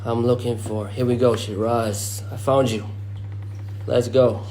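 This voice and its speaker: A man from Malaysia hosting a conversational live-stream interview, speaking haltingly and often repeating words. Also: mid-range voice, fairly even intonation, mellow, slight background noise. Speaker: host of a live debate. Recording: dull, lo-fi live-stream audio.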